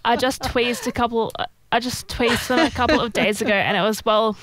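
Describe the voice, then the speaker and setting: A woman's voice: on the high side, steady tone, fairly quick, a bit bright, rough recording. A woman co-hosting a live chat, reading viewers' messages aloud. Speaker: co-host reading out viewer comments.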